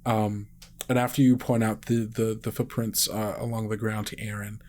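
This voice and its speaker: A game master narrating a tabletop game casually, with a breathy voice. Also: medium pitch, flat delivery, moderate pace, a little mellow, slight background noise.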